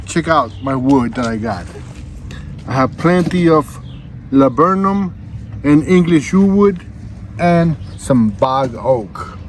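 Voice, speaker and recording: Slow-talking man hosting a folksy vlog. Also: mid-range voice, lively intonation, slight background noise. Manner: folksy, addresses viewers as folks, laid-back slangy phrasing. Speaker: vlog host. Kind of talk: casual unboxing vlog talk to viewers.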